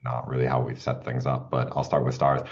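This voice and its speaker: A man chatting casually as a podcast co-host, giving game feedback in a halting, rambling, self-correcting way. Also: extremely low pitch, completely flat delivery, lo-fi sound, a little hiss or hum. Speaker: podcast co-host and tabletop player.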